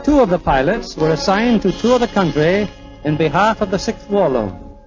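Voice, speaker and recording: Formal vintage radio host, slightly high voice. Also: male voice, expressive, normal speed, music in the background. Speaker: radio theater host and narrator. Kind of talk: formal scripted 1940s radio presenting. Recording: dull vintage 1940s radio recording.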